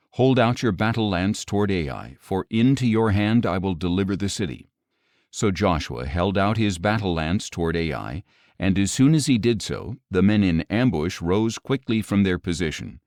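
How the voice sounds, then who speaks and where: A man narrating scripture from a script in a measured, solemn manner. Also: sits low, darkish tone, dry recording, clean studio sound. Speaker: audiobook Bible narrator.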